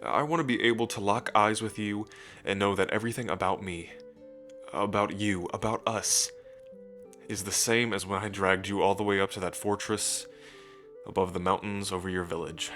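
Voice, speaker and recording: A man playing a romantic dragon king in an intimate ASMR roleplay, tender and unhurried, with playful pride as he talks to the listener. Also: fairly low pitch, steady tone, a bit bright, soft background music, lightly acted. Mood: tender, earnest.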